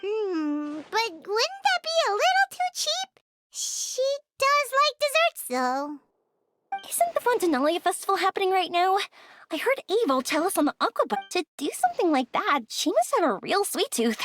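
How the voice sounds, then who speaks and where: Very high, squeaky fairy companion. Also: female voice, very animated, moderate pace, fairly bright tone, slight background noise, heavily acted. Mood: eager, helpful. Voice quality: squeaky, childlike. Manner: refers to self in third person. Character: tiny chirpy childlike female fairy companion, eager and naive. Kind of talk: video game voice acting.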